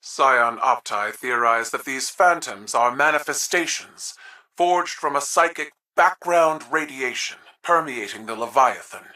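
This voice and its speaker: Proud warlord commander: slow, forceful, declarative, formal game dialogue. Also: male voice, medium pitch, animated, distant mic, low-quality recording. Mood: formal, reporting. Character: stern, proud alien military commander. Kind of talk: video game dramatic dialogue.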